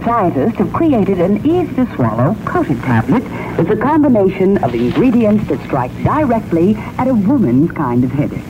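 A man's voice: high-pitched, wildly swooping pitch, moderate pace, few pauses, noisy background. A man reading a scripted 1960 radio commercial as an announcer, heard on a muffled old AM recording.

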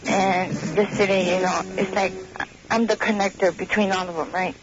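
A woman's voice: mid-range voice, fairly even intonation, normal speed. A young woman calling a radio show, confessing in a hesitant, casual way. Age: young adult. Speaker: anonymous radio call-in guest. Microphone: telephone. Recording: dull noisy phone-in on aircheck tape.

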